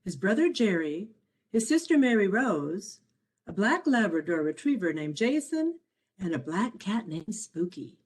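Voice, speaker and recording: A woman reading her own children's picture book aloud as a storyteller, in an expressive, measured way. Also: medium pitch, slightly rough. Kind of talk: scripted storybook narration for children.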